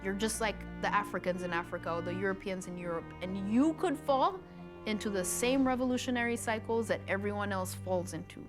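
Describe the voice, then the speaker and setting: A woman's voice: mid-range voice, fairly quick. A young woman from Uganda, speaking earnestly and reflectively in a documentary interview. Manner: earnest, reflective, some hesitant restarts. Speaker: student interviewee. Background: music.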